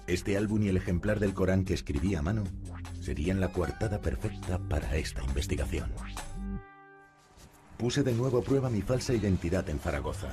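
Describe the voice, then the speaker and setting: Deep guy calmly narrating his own story, music going behind. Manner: calm, measured first-person telling. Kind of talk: documentary narration.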